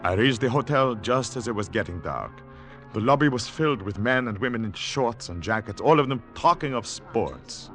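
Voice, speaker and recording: An actor playing an earnest foreign agent on the run, speaking gravely and dramatically in 1940s radio style. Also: male voice, medium pitch, expressive, normal speed, fairly bright tone, soft background music, close to an everyday voice. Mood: grave, tense.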